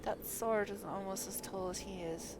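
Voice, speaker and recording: A woman's voice: mid-range voice, fairly even intonation, normal speed, noisy background. A woman live-streaming a game, reading dialogue aloud and adding her own giggly asides.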